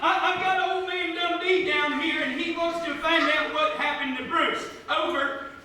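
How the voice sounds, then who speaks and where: A man's voice: very high voice, fairly even intonation, normal speed. A man playing a nervous, eager small-town deputy who stammers and hesitates in a live comic radio play. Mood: flustered. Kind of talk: live radio theatre comedy acting. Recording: echoey theatre, distant mic, noisy.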